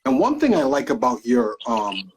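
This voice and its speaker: A man's voice: medium pitch, wildly swooping pitch, moderate pace, a little hiss or hum, poor audio. A man who grew up in Guyana, hosting a casual interview and asking questions hesitantly with many fillers. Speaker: host interviewer.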